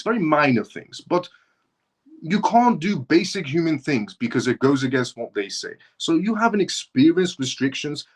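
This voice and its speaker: A man from Iran hosting a livestream, giving an impassioned opinion monologue that hammers points through repetition and restarts mid-phrase. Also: medium pitch. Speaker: livestream host.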